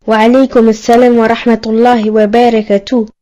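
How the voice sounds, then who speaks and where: A woman's voice: a little high, flat delivery, brisk, warm tone, highs cut off, close to an everyday voice. A woman voicing a polite, caring boy who looks after his sick mother in a cartoon. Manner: polite, apologetic.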